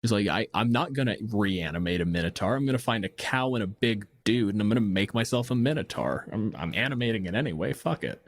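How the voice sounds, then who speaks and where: Low-voiced, casually sweary man, close dry sound. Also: lively intonation, quick pace, hardly any pauses, no echo. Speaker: podcast co-host. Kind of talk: casual discussion of tabletop game design.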